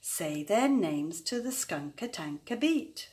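An older woman reading a children's picture book aloud in a rhythmic, animated chant, stressing the syllables. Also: lowish voice, takes it slowly.

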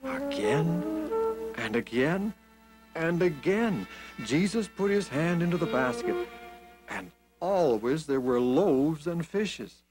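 A man storyteller reads to young children at a slow pace. His mid-pitched voice rises and falls expressively, with faint music underneath. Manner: expressive, deliberate, repeats for small children. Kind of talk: scripted children's Bible story narration.

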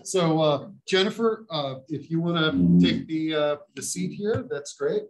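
A man hosting a community meeting in a large room, his voice a touch high and carrying a clear echo. He jokes with the group and fills gaps with loose "uh" and "yep" while calling each speaker up. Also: a little slow, slight background noise. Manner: loose, joking, filler-heavy. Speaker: meeting host. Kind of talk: casual emceeing. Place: large senior living common room. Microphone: far camera in room. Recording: echoey room sound.

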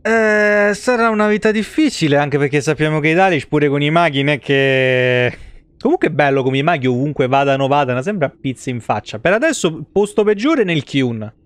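A man's voice: fairly high pitch, lively intonation, fairly quick, clear, resonant tone, no room sound. A man gives casual, joking, sweary live commentary on a game. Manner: informal, joking, swears freely. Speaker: live streamer. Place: indoor room, seated at a desk.

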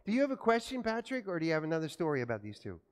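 Man, pastor, lively storytelling to kids, fairly high voice, echoey church from a distance. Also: rapid-fire. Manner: animated storytelling, asks children questions. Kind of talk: children's sermon. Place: church sanctuary.

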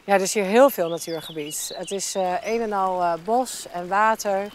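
Hotel owner woman, polite, explains stuff, lots of ehs, average pitch. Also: normal speed, a little hiss or hum. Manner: polite and informative, with fillers. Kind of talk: welcoming explanation.

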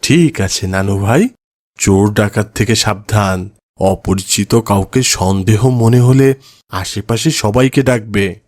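A man's voice: lowish voice, unhurried, darkish tone, no room sound, clean studio sound. A man voicing a kindly, good-humoured old grandfather in a cartoon moral story. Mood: caring.

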